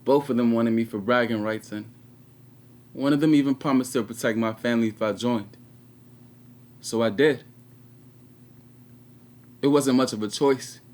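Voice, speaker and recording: An actor playing an anguished, regretful 21-year-old prisoner in a confessional stage monologue with dramatic pauses. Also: male voice, mid-range voice, steady tone, a little mellow, close to an everyday voice. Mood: bitter, regretful. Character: anguished 21-year-old male prisoner, former track star, bitter and regretful.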